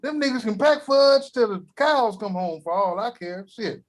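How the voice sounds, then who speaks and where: A man's voice: sits high, lively intonation, normal speed. An actor at a table read playing a crude, grumpy old grandfather who blusters and swears. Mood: indignant. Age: older. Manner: profane, blustery, shouting when riled.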